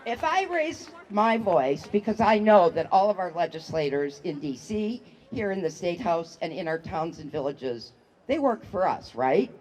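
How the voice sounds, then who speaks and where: A woman human rights activist giving a slow, solemn rally speech into a microphone outdoors, building to shouted calls to the crowd. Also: lowish voice, sing-song, rough recording. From United States. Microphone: public address microphone.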